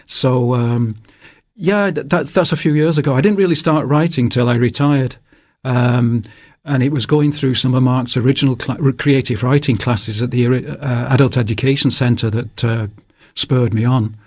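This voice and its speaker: A man's voice: mid-range voice, moderate pace, no room sound. Older Manchester-accented man, dry studio sound. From England. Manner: relaxed and rambling, with many fillers. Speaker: author guest. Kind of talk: radio interview. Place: radio studio.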